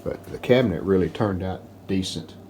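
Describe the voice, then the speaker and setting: A man giving casual, plainspoken, unhurried narration of hands-on workshop work, like a DIY video host. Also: low-pitched, noisy background. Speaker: host of a restoration channel.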